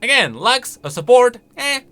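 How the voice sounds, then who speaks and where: A man's voice: very high voice, big pitch swings, normal speed, pushed and bright, faint music behind. A man giving casual, wry, opinionated reaction commentary as a video game lore commentator.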